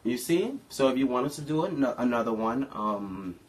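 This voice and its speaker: A man's voice: medium pitch, animated, moderate pace. A young man in his twenties, casually chatting on a home livestream in a brash, profane, campy way. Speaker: livestream co-host. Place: living room at home.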